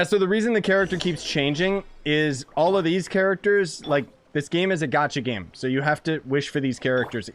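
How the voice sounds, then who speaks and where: A man's voice: medium pitch, a little hiss or hum. A young man rambling casually about a game he is playing, laid-back and full of fillers. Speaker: gaming streamer. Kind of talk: casual commentary over gameplay.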